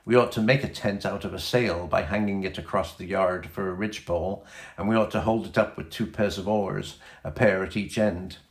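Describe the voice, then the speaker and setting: A man's voice: sits low, steady tone, fairly quick, reverberant. A man reading the part of an earnest, practical boy captain in a children's storybook. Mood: earnest, reasoning.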